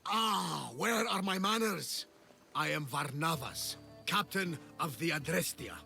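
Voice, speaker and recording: A weathered sea captain with a gravelly, rough-edged voice pitched a little high. He speaks theatrically and invokes the gods at every turn. A clear game score plays under him. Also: unhurried, bright, forceful tone, soft background music, full character voice. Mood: grateful. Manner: dramatic, invokes the gods, bursts into laughs. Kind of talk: video game cutscene acting.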